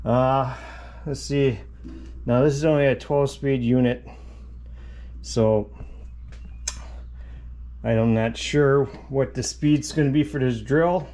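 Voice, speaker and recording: A man's voice: mid-range voice, fairly even intonation, slow pace, pauses often, mellow. A man in his fifties hosting a casual DIY vlog from his driveway and garage workshop, chatty and rambling, often saying "guys". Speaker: DIY channel host.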